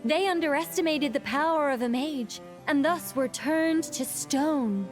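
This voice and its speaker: A woman's voice: high voice, faint music behind, pitched above the performer's own voice. A woman voicing a grand, mysterious storybook mage in a video game, with pompous archaic phrasing. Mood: imperious. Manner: pompous, archaic, drawn-out delivery.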